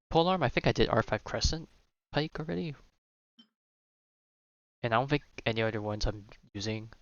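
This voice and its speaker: A man's voice: lowish voice, fairly bright tone, clear, resonant tone, no echo, dull audio. A man live streaming a video game, thinking aloud in casual, rambling commentary that often trails off. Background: video game music.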